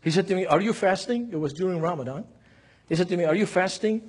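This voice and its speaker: A man's voice: high voice, fast, slightly rough. A man from Lebanon with a Lebanese Arabic accent, preaching at a seminar with emphatic repetition, acted-out dialogue and rhetorical questions. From Beirut, Lebanon. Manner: emphatic, acts out dialogue, repeats key phrases.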